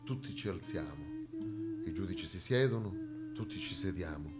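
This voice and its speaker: A man performing a theatrical comic monologue as storyteller, with dramatic pauses, moving between hushed and emphatic delivery. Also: sits low, huge pitch swings, loud music behind the voice.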